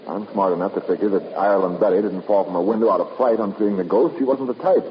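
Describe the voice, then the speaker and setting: An actor with an American accent playing a tough, sardonic investigator in a 1950s radio drama, clipped and accusing. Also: male voice, fairly low pitch, fast talker, natural voice. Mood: brusque. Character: tough, wisecracking male private investigator. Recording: noisy, muffled 1950s radio recording.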